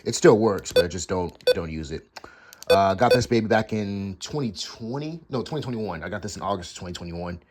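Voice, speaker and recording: An American man giving a casual show-and-tell as a hobby video host, halting and correcting himself as he goes, recorded in a room at home. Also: low-pitched, expressive. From United States. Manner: halting, pausing mid-sentence, self-correcting. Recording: clearly noisy amateur home recording with clicks.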